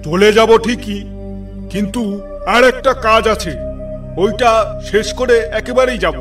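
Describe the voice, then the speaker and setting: A man voicing a wise holy man in a cartoon who speaks calmly and with authority. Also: sits high, a little slow. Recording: distant voice with music behind.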